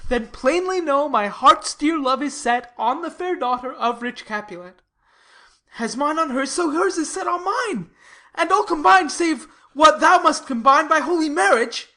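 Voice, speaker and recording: A woman's voice: high-pitched, expressive, measured pace, pushed and bright, heavily acted. A woman playing an eager, lovestruck young man in a classical verse drama, speaking with ardent, earnest expression. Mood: ardent, pleading.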